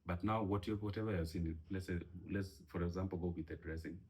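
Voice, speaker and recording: A man's voice: low voice, steady tone, brisk, a little mellow, husky. A man with a Kenyan accent hosting a casual interview, prompting his guest with loose questions and frequent restarts. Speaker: podcast host.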